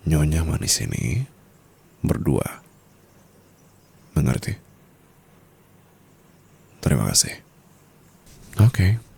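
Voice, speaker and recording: Rich, dominant sugar-daddy husband , played by a man for romantic ASMR roleplay: slow, husky, dark murmur, teasing and doting. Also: extremely low pitch, heavily acted. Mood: curt, commanding, then playful, coaxing. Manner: slow breathy murmur with soft chuckles, teasing.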